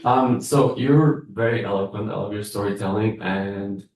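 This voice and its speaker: A man's voice: fairly low pitch, normal speed, a little mellow. Rambling man in an echoing hall. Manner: rambling with fillers. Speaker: audience member. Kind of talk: giving feedback at a pitch event. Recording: roomy hall, distant mic.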